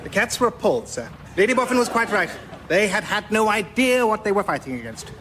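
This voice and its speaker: An actor playing a polite, deferential wartime military aide with a British accent in a radio comedy sketch. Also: male voice, sits high, big pitch swings, fairly quick, a bit bright, slight background noise. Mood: earnest. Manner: polite, hesitant, straight-faced.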